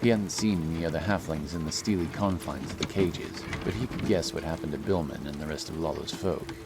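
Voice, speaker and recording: A man narrating a fantasy audiobook in a measured, solemn storytelling manner. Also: very deep voice, noisy background. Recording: loud music behind the voice. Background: loud music.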